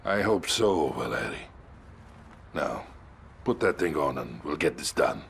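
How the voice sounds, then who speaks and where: An actor playing a bitter, defiant Soviet colonel with a Russian accent in a video game drama. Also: male voice, rumbling low, very animated, a little slow, gravelly, slight background noise, exaggerated voice. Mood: bitter, firm.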